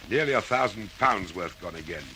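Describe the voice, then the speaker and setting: Harried factory boss, fretful, lowish pitch, bright edge, radio play. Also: male voice, lively intonation, a little fast, a little hiss or hum. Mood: worried, weary. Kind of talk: classic radio drama acting.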